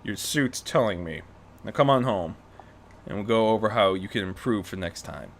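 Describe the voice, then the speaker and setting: A man voicing a gruff old retired crime-fighter, a terse, dry and measured mentor in a story reading. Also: lowish voice, expressive, slight background noise, voice pushed down. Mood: critical, measured.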